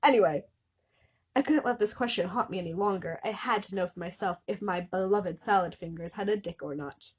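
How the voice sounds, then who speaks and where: A woman's voice: mid-range voice, fairly quick, husky, highs cut off. A woman hosting a comedy show, reading fan fiction dramatically with incredulous, giggly asides. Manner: breaks into giggles and incredulous commentary.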